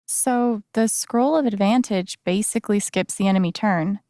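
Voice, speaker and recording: A woman voicing an earnest, gentle young game heroine with a wry playful side, in a casual, conversational way. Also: medium pitch, normal speed, no echo, clean studio sound, lightly acted. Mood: casual, thoughtful. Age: young adult. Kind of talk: naturalistic video game voice acting.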